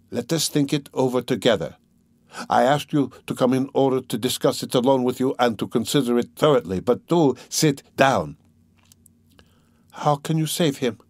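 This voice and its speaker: A man's voice: mid-range voice, normal speed, a little mellow, no room sound, pitched above the performer's own voice, natural voice. A man voicing an obsessive, menacing tormentor in an audiobook, feverish, insinuating and emphatic. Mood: urgent persuasion. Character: obsessive, menacing male tormentor, pleading then coldly mocking. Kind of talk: dramatic audiobook character reading.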